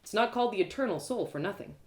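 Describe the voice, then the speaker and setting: A woman's voice: medium pitch, animated, fast talker, natural voice. A woman voicing a hearty male cobbler in a storybook, proud of his craft and plain-spoken like a salesman. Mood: proudly defensive.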